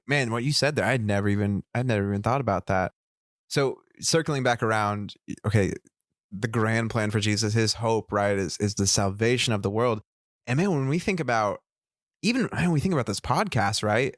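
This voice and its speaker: A low-pitched man muses on a faith podcast, sometimes pausing to search for the right word. The sound is dry and close, with no room echo. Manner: reflective, searches for words, self-deprecating. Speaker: podcast co-host. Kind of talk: casual faith discussion.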